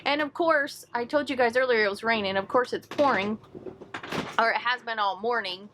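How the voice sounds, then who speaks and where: A middle-aged woman chatting casually to camera as an RV travel vlogger, upbeat and quick to laugh. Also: a little high, background noise. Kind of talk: casual vlog chat to camera.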